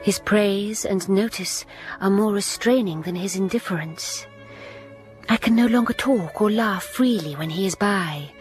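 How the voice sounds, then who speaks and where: A woman playing an earnest young governess in a radio drama, narrating in a quiet, reflective way and often dropping to a whisper. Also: medium pitch.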